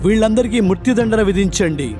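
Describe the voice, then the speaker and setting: A merciless king gives orders in a high, commanding voice. He sounds stern and threatening in this animated storybook. Loud background music plays under him. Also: fairly quick. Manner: commanding, issues death sentences.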